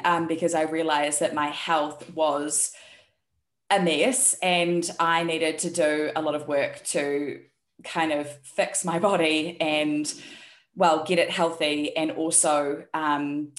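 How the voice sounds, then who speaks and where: A woman with a New Zealand accent talks as a podcast guest in a very low voice. Her speech is relaxed and open, with frequent ums. The sound is echoey, as though she is in a bare room. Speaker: guest, health and performance coach. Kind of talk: casual interview chat.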